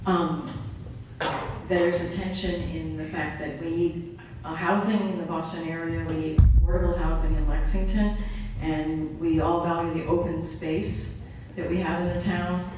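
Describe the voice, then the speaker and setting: A woman speaking in a thoughtful, measured way at a public meeting. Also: deep voice, level tone. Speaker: meeting participant. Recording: echoey hall, distant, noisy.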